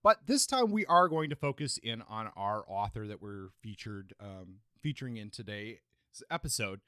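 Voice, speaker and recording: A man's voice: lowish voice, expressive, normal speed, pushed and bright, smooth, dry recording, studio quality. A man co-hosting a podcast, reviewing and discussing books. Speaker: podcast co-host.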